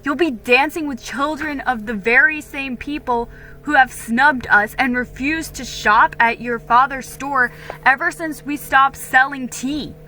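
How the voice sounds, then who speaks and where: A woman's voice: high-pitched, a little slow, pushed and bright, soft background music, pitched above the performer's own voice, low-quality recording. A woman voicing a hot-headed, indignant young colonial man in a dramatic storybook reading, insistent and accusing.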